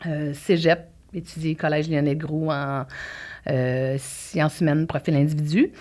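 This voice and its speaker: A woman from Quebec with a Québécois French accent, a guest entrepreneur telling her story in an energetic, talkative way. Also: low voice, measured pace. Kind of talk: casual interview chat.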